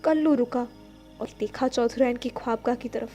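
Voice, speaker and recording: A woman with a high voice narrates a dramatic literary tale. She reads as a storyteller in an audio play, with faint music playing underneath. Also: normal speed, dark tone.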